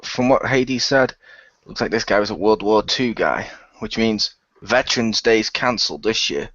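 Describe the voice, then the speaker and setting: A man's voice: on the low side, normal speed, a bit bright, dry recording, muffled recording. A man playing a role-playing game, improvising third-person narration and joking in character.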